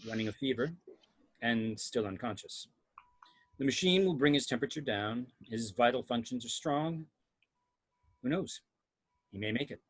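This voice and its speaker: Man as a husky, clinical science officer. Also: medium pitch, animated, moderate pace, long pauses, close to an everyday voice. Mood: matter-of-fact. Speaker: actor reading science officer in table read. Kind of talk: amateur script table read.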